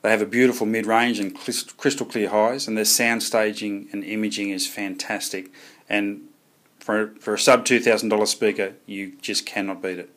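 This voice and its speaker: A man delivers a salesman's testimonial to promote a product. His voice is fairly low, and he speaks as someone recommending it to customers. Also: steady tone, moderate pace, fairly bright tone.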